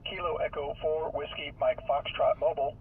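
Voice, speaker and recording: A man's voice: on the high side, little pitch movement, moderate pace, breathy edge. Tinny radio-filtered man calling out, distant. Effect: radio transmission playback. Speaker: amateur radio operator calling stations. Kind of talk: recorded radio call using phonetic alphabet. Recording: tinny, narrowband radio speaker, far off.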